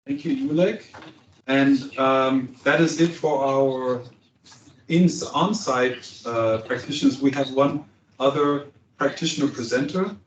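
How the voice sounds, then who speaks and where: A man's voice: mid-range voice, unhurried. A man moderating a panel discussion, loose and chatty with fillers. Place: large conference hall. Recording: echoey hall, distant dull mic, faint noise.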